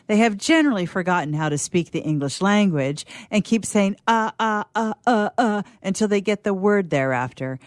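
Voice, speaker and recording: A woman's voice: medium pitch, expressive, normal speed, few pauses, no room sound, clean studio sound, close to an everyday voice. A young actress playing a petulant, self-absorbed young woman, complaining theatrically to her mother in an old-fashioned radio theatre monologue. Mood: scornful.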